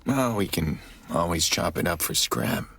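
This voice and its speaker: Casting: terse, weary game vigilante, very low, gravelly, husky voice. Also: male voice, expressive, moderate pace, a bit bright, heavily acted. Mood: guarded. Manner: terse, understated. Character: gruff, weary male vigilante hacker. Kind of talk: video game voice acting.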